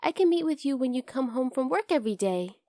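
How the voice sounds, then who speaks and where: A woman's voice: high-pitched, lively intonation, fast, mellow, no echo, studio quality. A woman voicing a teasing, curious young bunny girl in an ASMR roleplay, speaking to the listener in a playful, casually blunt way. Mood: amused, affectionate.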